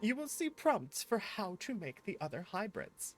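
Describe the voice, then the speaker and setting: A woman voicing a gentle, jolly male tea-shop keeper from a video game, earnest and slightly formal. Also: mid-range voice, big pitch swings, normal speed, soft background music, lightly acted. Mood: gentle, instructive. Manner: slightly formal, quaint phrasing. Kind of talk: reading game dialogue in character.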